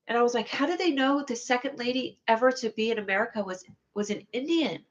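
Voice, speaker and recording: Young woman, whiny needy voice, slightly high pitch, rambling casual livestream chat, self-deprecating, scatterbrained. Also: quick pace, muffled recording.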